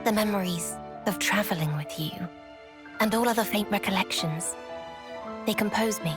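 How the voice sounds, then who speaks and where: Solemn otherworldly young woman, calmly grave game actress. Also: medium pitch, moderate pace, over music, natural voice. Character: solemn otherworldly young woman, the calm conscience of a godlike being. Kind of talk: video game cutscene acting.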